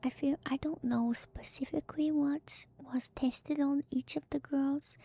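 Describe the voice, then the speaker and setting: A woman streamer whispering softly and breathily in an ASMR style, musing gently and hesitantly through game lore commentary close to the microphone. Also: high-pitched, husky, no room sound. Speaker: streamer, VTuber host. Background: music.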